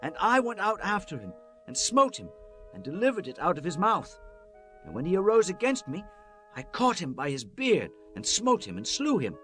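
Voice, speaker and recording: A man plays a fearless shepherd lad with a high, animated voice. He declaims earnestly in a biblical audio drama, with light music behind. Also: normal speed, heavily acted. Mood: bold, confident.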